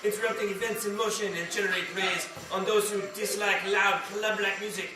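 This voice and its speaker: A man's voice: high-pitched, level tone, a little fast, noisy background, lightly acted. A man performing a mock nature documentary narrator, grandly authoritative in a comedy radio show. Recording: echoey live room, distant, music bed.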